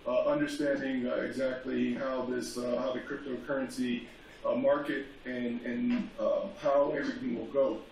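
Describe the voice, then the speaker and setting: A man's voice: medium pitch, little pitch movement, moderate pace, creaky, a little mellow. A man speaking as a guest on a panel, hesitant, with many fillers and restarts. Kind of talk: casual panel talk. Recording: echoey remote call, faint noise, far from mic.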